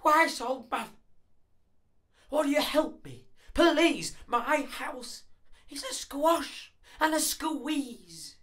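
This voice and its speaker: A man's voice: very high-pitched, sing-song, pushed and bright, pitched above the performer's own voice, heavily acted. A man voicing a flustered, pleading little old lady in a storybook, exclaiming and dramatic. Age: older. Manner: exclaiming, dramatic, drawn-out pleas.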